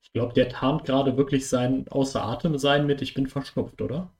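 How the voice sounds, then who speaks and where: A man's voice: mid-range voice, steady tone, normal speed, noisy background. A man casually chatting while reacting to a video, with mocking, teasing commentary. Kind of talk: casual chat.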